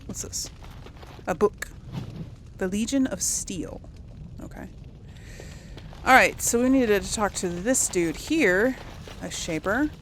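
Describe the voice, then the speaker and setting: Female let's-play host, medium pitch, slow casual delivery, thinking aloud hesitantly, slight noise behind. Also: animated. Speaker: gaming video host. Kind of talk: casual game commentary, talking to the audience.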